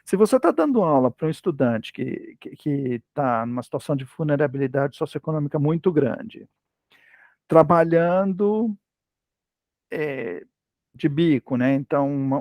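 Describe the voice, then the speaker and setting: Creaky-voiced man explaining teaching methods. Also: fairly high pitch, a little mellow, no room sound, studio quality. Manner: hesitant, restarts often, conversational. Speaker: language teaching lecturer. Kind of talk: academic talk, casual explanation.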